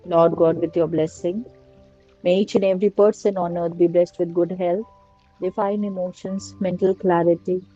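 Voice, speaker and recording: A woman slowly guiding a prayer and meditation in a soft, repetitive litany of blessings. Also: fairly low pitch, little pitch movement. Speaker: meditation guide. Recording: dull lo-fi recording with faint music. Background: faint music.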